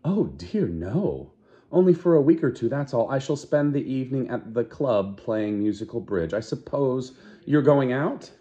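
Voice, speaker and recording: A man voicing a chatty young woman who scolds her friend in a high, prim voice, while reading a novel aloud. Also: expressive, moderate pace, warm tone, muffled recording, lightly acted. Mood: scolding.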